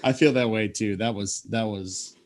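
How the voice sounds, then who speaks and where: A man with a low voice hosts a casual podcast chat in a studio. He talks easily and jumps in with quick agreement. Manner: easygoing, quick to agree and chime in. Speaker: podcast host.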